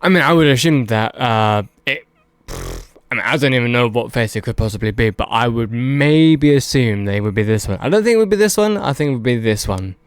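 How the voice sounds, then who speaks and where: A man's voice: mid-range voice, sing-song, brisk, clear, resonant tone, no echo, clean studio sound. A man giving casual, unscripted video commentary, rambling and hedging as he goes and repeating words for emphasis. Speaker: video host.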